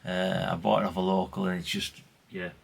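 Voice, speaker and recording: A man's voice: deep voice, level tone, a little fast, gravelly, husky. A middle-aged man chatting casually and colloquially in a rambling vlog, often tagging questions onto what he says. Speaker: vlogger, radio collector.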